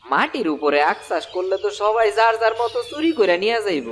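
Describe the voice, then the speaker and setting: A woman's voice: medium pitch, sing-song, brisk, a little hiss or hum, close to an everyday voice. A woman playing a chatty, knowing male villager in a cartoon, with a rural Bangladeshi Bengali accent. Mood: knowing, persuasive. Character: chatty male villager, the farmer's advice-giving friend. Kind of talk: cartoon voice acting.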